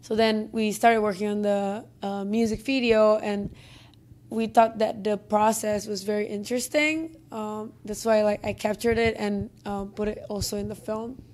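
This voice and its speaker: A woman's voice: medium pitch, steady tone, moderate pace, darkish tone. A young woman with an Indonesian accent, a film director chatting in an interview with many fillers and restarts, on a handheld mic in an office meeting room. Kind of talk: interview answers. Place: office conference room, indoors.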